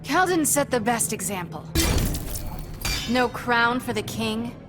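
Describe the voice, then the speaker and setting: Young game superheroine: high voice, sassy and challenging, loud music behind. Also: female voice, lively intonation, slow. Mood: sarcastic, defiant. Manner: sarcastic, challenging. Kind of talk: video game voice acting.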